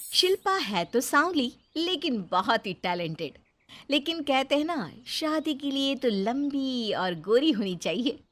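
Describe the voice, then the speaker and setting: A woman's voice: high voice, sing-song, a little slow. A woman storyteller narrating a scripted moral story.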